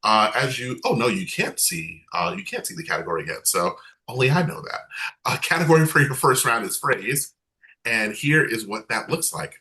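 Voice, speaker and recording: A man's voice: medium pitch, big pitch swings, moderate pace, bright, forceful tone. A man hosting an online game night over a video call, chatty, upbeat and self-deprecating. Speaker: game show host.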